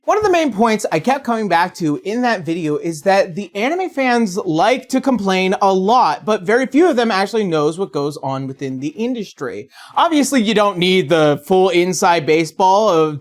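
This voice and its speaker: A sarcastic video essay narrator with a very animated delivery. The pitch moves up and down expressively, and the scripted commentary is played theatrically for comedy. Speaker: video essayist, channel host.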